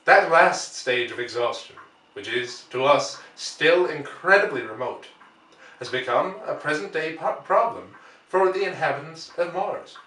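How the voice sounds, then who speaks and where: A man reading a classic novel aloud as an audiobook narrator, with deliberate phrasing and dramatic emphasis. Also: fairly high pitch, animated, bright, forceful tone. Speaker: audiobook reader. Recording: roomy, echoey, distant from mic.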